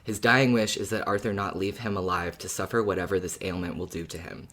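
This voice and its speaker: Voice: low-pitched, steady tone, a little fast, a bit bright. A podcast host who chats casually about a story in a fan discussion and laughs often.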